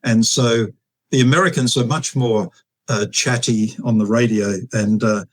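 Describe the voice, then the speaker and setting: An Australian man in his sixties talks through his memories at an unhurried, halting pace. He is a guest in a relaxed interview and often pauses with ums and restarts. His middle-pitched voice sounds close and dry, with no room echo. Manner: halting, with many fillers and restarts. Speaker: guest, retired air force officer. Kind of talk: casual storytelling interview.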